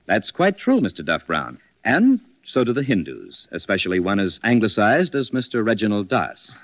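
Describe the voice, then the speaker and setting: An actor playing a confident, polished gentleman detective who hosts a 1940s radio mystery. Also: on the low side, very animated, dry recording. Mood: confident deduction. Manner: polished, deliberate. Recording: dull vintage 1940s radio recording.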